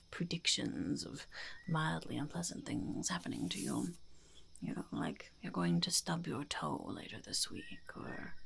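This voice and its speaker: A woman voicing a blunt, earnest female goblin shopkeeper in an ASMR roleplay, whispering and speaking softly with a gravelly creak and musing to herself. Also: medium pitch. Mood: musing, dryly mischievous. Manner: musing, hesitant, repeating phrases to herself.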